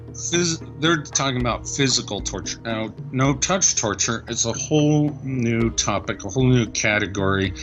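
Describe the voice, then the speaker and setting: A man giving earnest explanatory commentary. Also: mid-range voice.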